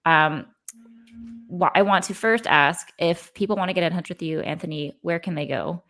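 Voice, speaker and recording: Female co-host, low pitch, creaky fry, encouraging interviewer. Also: normal speed. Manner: warm, encouraging, many ums. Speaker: podcast co-host. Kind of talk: interview hosting.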